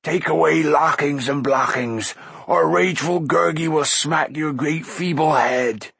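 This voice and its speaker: A man's voice: fairly high pitch, measured pace, vocal fry, a bit bright, breathy edge, no room sound, pitched above the performer's own voice, full character voice. A man voicing a rageful, blustering creature with quaint rhyming speech in a fantasy audiobook. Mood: raging. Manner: fierce, blustering.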